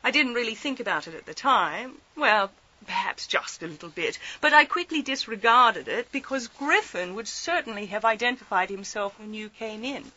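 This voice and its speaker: An actress playing a sharp lady detective in a radio mystery, politely needling with chatty, digressive, mock-innocent hesitations. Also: a little high, animated, normal speed, muffled recording. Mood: probing, coy. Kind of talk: scripted radio mystery acting.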